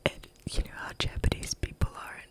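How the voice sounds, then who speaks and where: A young adult whispering a rambling story in a casual way, full of fillers like "like" and "um". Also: a little fast. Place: staying on a chocolate farm in Hawaii.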